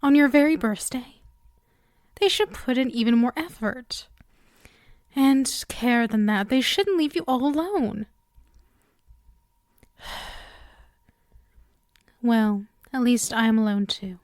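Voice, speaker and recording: A woman playing a lonely, coaxing female demon in an intimate ASMR roleplay, speaking softly and hesitantly, often dropping into a whisper. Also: a little high, expressive, takes it slowly, long pauses, no room sound, studio quality. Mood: tender, concerned.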